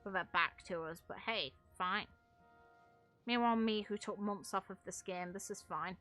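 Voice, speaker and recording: Bright-voiced woman ranting casually over music. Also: medium pitch, normal speed. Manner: blunt, emphatic, sweary. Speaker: game streamer and video creator. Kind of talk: casual gaming commentary, opinionated rant.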